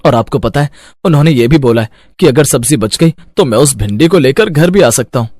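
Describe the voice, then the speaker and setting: A man's voice: medium pitch, expressive, brisk, darkish tone, dry recording, clean studio sound, lightly acted. A man voicing an earnest, dutiful teenage son in a cartoon moral story, explaining things reassuringly. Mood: eager. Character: earnest, dutiful fifteen-year-old son of poor mother. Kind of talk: animated moral-story dialogue.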